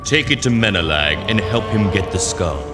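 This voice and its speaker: A man's voice: on the low side, lively intonation, moderate pace, loud music behind the voice, natural voice. A man acting a scheming older wizard mentor in a video game, speaking with silky, commanding drama. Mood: grandly paternal.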